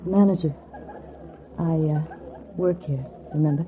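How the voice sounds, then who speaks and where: Teasing nightclub flower girl, lowish voice, knowing manner, 1940s radio drama, dull sound. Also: a little slow. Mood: coaxing, resigned. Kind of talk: 1940s radio noir acting. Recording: dull vintage radio recording.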